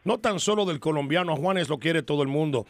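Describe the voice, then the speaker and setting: Copresentador dominicano, voz aguda y seca, muy enfático. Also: male voice, a little high, brisk, no room sound. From Dominican Republic. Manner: emphatic and enthusiastic, addresses the audience directly. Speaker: radio co-host. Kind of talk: live radio interview.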